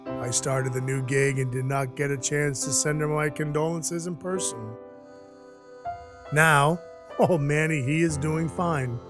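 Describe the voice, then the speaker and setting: A man playing a scruffy, apologetic Christmas dwarf in an audio drama, telling his story in a halting, folksy, sincere way. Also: a little high, fairly even intonation, over music, lightly acted. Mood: reflective, folksy. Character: male Christmas dwarf turned Santa, scruffy, apologetic, sentimental. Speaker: actor in an audio play.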